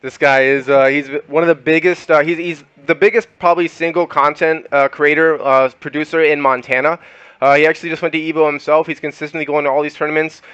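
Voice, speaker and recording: Young man, podcast host, rambling casual chat, smooth voice, dry close sound. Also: fairly high pitch, steady tone, quick pace, a bit bright, no room sound, dull audio. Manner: loose, rambling, many fillers and laughs.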